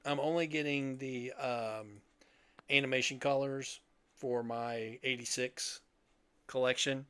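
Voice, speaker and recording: A man's voice: mid-range voice, steady tone, slow pace, fairly bright tone, clean tone, no room sound. A middle-aged man chatting casually as a podcast host, rambling and jokey. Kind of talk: casual chat.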